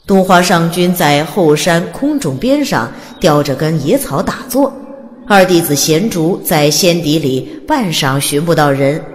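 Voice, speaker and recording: A woman's voice: low-pitched, lively intonation, unhurried. A woman narrating a fantasy audiobook in a scripted, dramatic storytelling style. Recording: long reverb, faint music underneath. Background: soft music.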